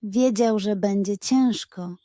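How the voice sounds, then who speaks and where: Slow, mellow woman telling a bedtime story. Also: mid-range voice, no room sound, studio quality. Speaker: children's story narrator. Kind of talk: expressive scripted bedtime-story narration.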